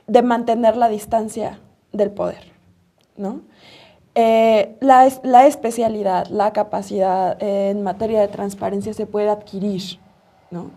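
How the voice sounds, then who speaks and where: A woman with a Mexican accent answering as an interview guest in deliberate, emphatic short phrases. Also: medium pitch, dark tone. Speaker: interview guest, rights organization advocate.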